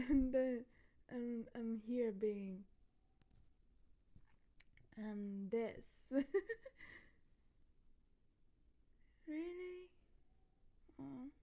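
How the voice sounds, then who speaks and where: Woman playing a tipsy besotted girlfriend, highish mellow voice, halting, soft, goofy, ASMR roleplay. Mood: incredulous, giggly. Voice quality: soft, often dropping to a whisper. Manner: rambling, halting, repeating words, giggly. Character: happy, goofy, drunk young girlfriend, besotted and rambling.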